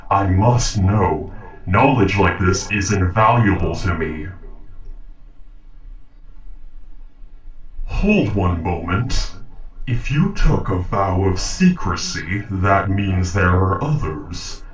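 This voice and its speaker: A man's voice: deep voice, animated. A man voicing a polite, logical robot in a scripted audio roleplay, speaking formally and precisely. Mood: intent, curious. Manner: formal, measured, precise diction. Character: polite, logical humanoid robot interrogating a captive human. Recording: roomy echo, distant from mic.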